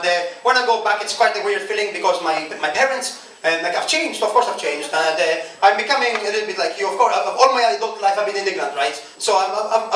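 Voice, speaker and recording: Greek-accented comedian in an echoey club. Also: male voice, high-pitched, slight background noise. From Greece. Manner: conversational, self-mocking, chatty asides. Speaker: stand-up comedian. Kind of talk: stand-up comedy set. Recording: echoey club from far off, loud ambience. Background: audience laughter.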